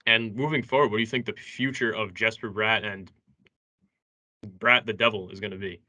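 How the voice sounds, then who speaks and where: A man's voice: lowish voice, steady tone, moderate pace, sharp tone. A man co-hosting a sports show, putting questions to a guest conversationally. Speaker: sports show co-host, interviewer.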